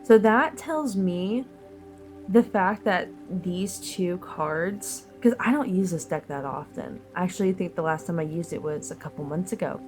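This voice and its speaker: Slow, nasal woman with a cold. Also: lowish voice, a little mellow, faint music behind. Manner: meandering and thoughtful, with many fillers and pauses. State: sick, nasal and sniffly. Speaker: tarot reader. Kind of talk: slow, reflective collective tarot reading.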